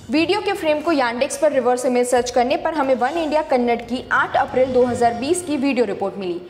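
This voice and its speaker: A woman's voice: high voice, echoey room, long reverb tail, slight background noise. A woman narrating a scripted news explainer as the presenter of a fact-check video.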